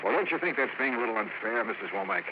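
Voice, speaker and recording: A man's voice: medium pitch, lively intonation, racing. A man voicing a wry insurance investigator who narrates and questions people in a 1950s radio drama. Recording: dull lo-fi old radio with loud hiss.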